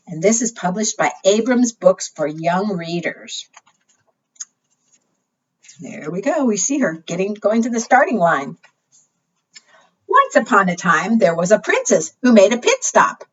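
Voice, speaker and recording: A woman hosting a preschool storytime, reading picture books aloud in an animated, expressive way and putting simple questions to young listeners. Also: medium pitch, husky. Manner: asks young listeners questions, simple child-directed phrasing; animated, expressive with gasps and exclamations. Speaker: library storytime host for preschoolers. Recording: muffled, distant microphone.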